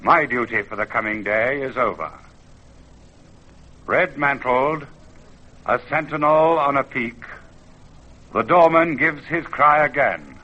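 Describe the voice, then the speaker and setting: An actor playing the ancient spirit of a mountain in an old-time radio drama, grand and poetic, with solemn theatrical declamation. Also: male voice, medium pitch, takes it slowly, long pauses. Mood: grand, reverent. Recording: dull vintage radio recording, faint music.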